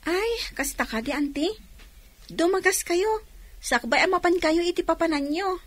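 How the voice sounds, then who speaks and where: Young daughter for radio drama, Ilocano accent: very high voice, respectful, always asking why. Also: female voice, lively intonation, moderate pace, a bit bright. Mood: polite, inviting. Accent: Filipino, Ilocano.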